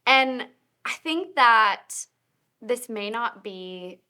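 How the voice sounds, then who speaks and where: A woman's voice: a little high, lively intonation, drawn-out words, sharp tone, clear, resonant tone. A woman in her late twenties, a guest in a casual faith chat, telling her story in an earnest, reflective way. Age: 20s.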